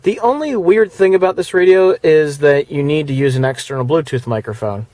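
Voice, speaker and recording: A man talking casually as he shows off the stereo in his car, chatty and relaxed, with the odd filler and restart. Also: medium pitch, dry recording. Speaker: car audio reviewer. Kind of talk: casual hands-on product demo. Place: inside a car. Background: faint music from the car stereo.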